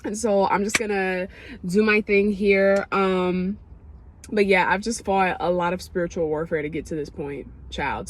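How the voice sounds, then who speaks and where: A young woman from Ethiopia, talking to camera in a casual, emotional way, full of fillers and quick asides as she gets excited. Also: lowish voice. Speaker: vlogger.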